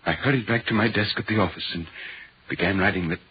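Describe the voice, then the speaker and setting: An actor playing a grave, troubled Victorian writer, narrating solemnly and dramatically in a 1940s radio drama. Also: male voice, on the low side, quick pace, breathy edge. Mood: shaken. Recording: muffled, hissy 1940s radio broadcast.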